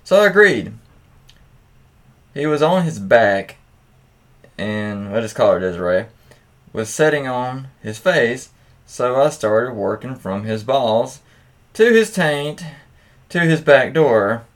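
A man with a mid-pitched voice reads stories aloud at a slow pace. His delivery is casual and crude, like a host who adds candid asides as he goes. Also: big pitch swings. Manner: crude, swearing, candid reactions.

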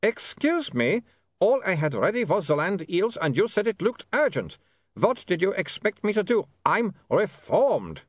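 A man's voice: high-pitched, big pitch swings, unhurried, husky, no room sound, pitched above the performer's own voice, exaggerated voice. A man voicing a polite, fussy, long-suffering foreign vampire in stilted, formal phrasing for a story. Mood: indignant.

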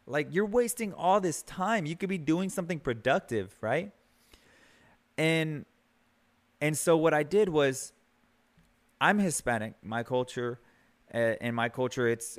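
A man in his thirties hosting a podcast, giving an earnest, conversational motivational talk, often repeating words as he builds a point. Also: a little high. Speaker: podcast host. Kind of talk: motivational monologue.

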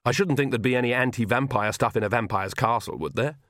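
A man's voice: mid-range voice, quick pace, fairly bright tone, no room sound, studio quality, natural voice. A man voicing an anxious, earnest young mother in an audiobook, plain-spoken and worried.

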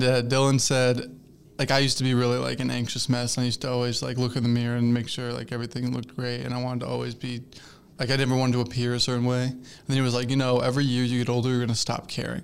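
A man's voice: medium pitch, gravelly, a little hiss or hum. A man in his twenties in casual podcast chat, rambling with lots of fillers and restarts, laughing easily and getting flustered when teased. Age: 20s. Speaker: podcast co-host.

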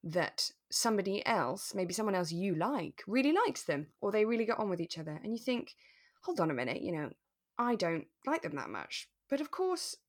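A woman co-hosting a podcast, talking through a radio drama in a relaxed, thoughtful, explanatory way. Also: medium pitch, normal speed. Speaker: podcast co-host.

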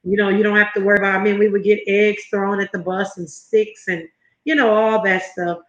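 A woman's voice: medium pitch, flat delivery, fairly quick. A woman in her fifties from Southern California, a guest telling her story in an earnest, emphatic way. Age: 50s. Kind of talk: interview conversation. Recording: roomy, slightly muffled sound.

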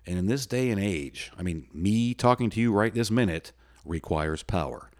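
Deep-voiced man rambling on a podcast. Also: animated, moderate pace. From United States. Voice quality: loud voice. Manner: rambling, folksy, with frequent restarts and fillers. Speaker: podcast host. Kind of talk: solo casual podcast talk.

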